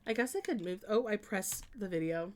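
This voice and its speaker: A woman's voice: mid-range voice, normal speed, darkish tone. A woman streamer chatting casually with viewers as she plans out a house, thinking aloud in a hesitant, meandering way with many trailing restarts. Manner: chatty and friendly when greeting and answering viewers. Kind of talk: casual live-stream chat while building in a life-sim game.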